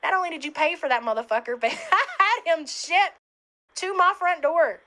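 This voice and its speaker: A woman rants on her livestream, exasperated and swearing all the way through. Her voice is high and bright with a sharp edge. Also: expressive, normal speed. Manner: profane and exasperated. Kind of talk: livestream rant.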